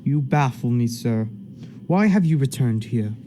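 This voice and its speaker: A man's voice: medium pitch, expressive, a little slow, mellow, slight background noise, natural voice. A man playing a stern, imperious judge in a radio play, speaking in formal, archaic period language with command. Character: stern, unbending male judge, imperious and impatient. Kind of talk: period stage drama acting in a radio play.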